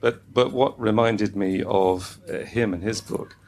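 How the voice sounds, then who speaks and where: A man's voice: fairly low pitch, measured pace, a little mellow. A man in an audience asking a rambling, conversational question in a hesitant, discursive way.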